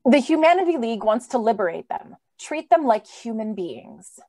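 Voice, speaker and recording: A woman plays an earnest young lady crusader in an old-time radio play. Her voice is fairly high and full of idealism. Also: sing-song, moderate pace, warm tone. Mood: earnest, challenging.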